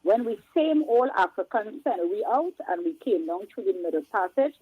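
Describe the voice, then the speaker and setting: Trinidadian woman calling in, husky phone voice. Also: medium pitch, animated, normal speed, no echo. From Trinidad and Tobago. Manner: emphatic, chatty, rhetorical asides. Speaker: caller. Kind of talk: casual call-in chat. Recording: tinny phone line.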